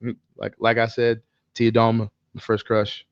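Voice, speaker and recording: A man's voice: mid-range voice, monotone, moderate pace, no echo, muffled recording. A man co-hosting a casual podcast chat about movies, speaking frankly in informal slang with some swearing. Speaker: podcast co-host.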